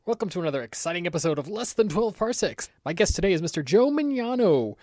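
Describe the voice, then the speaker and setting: A man hosting a podcast, giving brisk introductions and interview questions in a presenter's style. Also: high voice, very animated, a little mellow. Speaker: podcast host.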